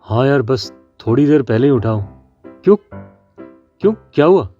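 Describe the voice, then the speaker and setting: A man voicing a friendly, quick-witted small animal in a children's cartoon story, polite and persuasive. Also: medium pitch, expressive, warm tone, natural voice. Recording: dull lo-fi sound with faint music. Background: faint music.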